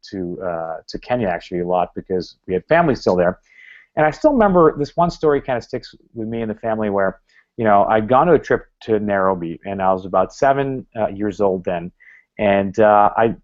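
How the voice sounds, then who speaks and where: A man giving a talk to a club, rambling with many fillers and restarts. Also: lowish voice. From Canada. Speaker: guest speaker. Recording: dull lo-fi recording.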